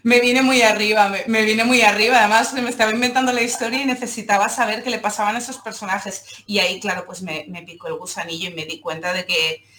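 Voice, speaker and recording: A woman's voice: mid-range voice, steady tone, fast, hardly any pauses, a bit bright, slight background noise. A woman from Seville in Spain, chatting casually as a podcast guest and telling personal stories in a giggly manner. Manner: giggly, laughs often while talking. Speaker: podcast guest, comic artist. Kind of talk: casual chat, personal storytelling.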